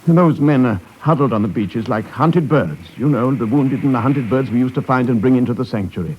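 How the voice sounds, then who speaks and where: An actor playing a gentle, reclusive artist in a 1950s radio play, speaking haltingly and earnestly. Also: male voice, medium pitch, slight background noise, lightly acted. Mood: earnest resolve. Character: gentle, reclusive crippled artist setting off to war. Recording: dull vintage 1950s radio recording.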